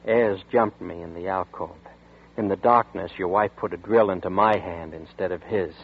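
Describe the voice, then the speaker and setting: A man's voice: deep voice, moderate pace, husky. A man playing a desperate, bitter man tricked into murder in a 1940s radio drama, talking tense and defiant. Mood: cagey. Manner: tense, bitter, defiant, then dazed narration. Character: desperate honest man turned murderer, seeking revenge. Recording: tinny old 1940s radio broadcast.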